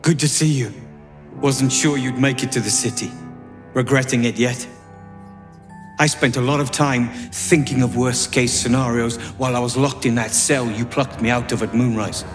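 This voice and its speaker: A man playing a weary, wry gnome resistance leader in a video game, speaking gravely. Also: mid-range voice, steady tone, normal speed, faint music behind, lightly acted. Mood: grim, wry.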